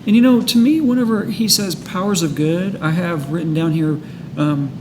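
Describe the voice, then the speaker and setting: A man in a casual discussion of a book, reading passages and reflecting in a halting way. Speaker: host of a recovery book study. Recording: clear background noise with low rumble.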